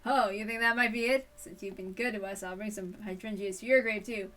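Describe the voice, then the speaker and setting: A woman's voice: mid-range voice, fairly even intonation, fairly quick, fairly bright tone, recorded from a distance, lightly acted. Brash teasing mother, played by a woman reader. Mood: playful, needling. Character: brash, confident mother, teasing, matter-of-fact.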